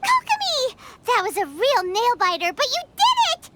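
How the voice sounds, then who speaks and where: A woman voicing a small, excitable, childlike fairy companion in an anime game, with a squeaky voice. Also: moderate pace, very noisy, full character voice. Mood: excited. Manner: speaks of herself in third person.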